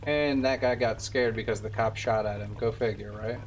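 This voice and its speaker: A man's voice: fairly low pitch, fairly even intonation, normal speed. A man in his twenties giving casual live commentary as he streams a game run. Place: indoor room at a streaming desk. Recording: distant mic, game noise behind. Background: game sound and music.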